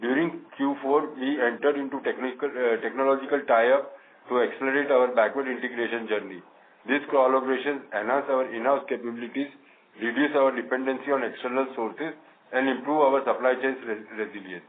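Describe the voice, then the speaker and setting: A man's voice: medium pitch, steady tone, brisk. A man with an Indian accent formally reading prepared remarks as a managing director on an earnings call, stumbling over some words. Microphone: conference call line. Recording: narrow phone-like line with faint noise.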